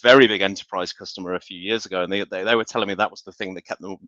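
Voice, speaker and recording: A man in a live business discussion who speaks haltingly, often correcting himself and searching for words. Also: sits low, fairly even intonation, pushed and bright, no echo, muffled recording. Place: online live stream.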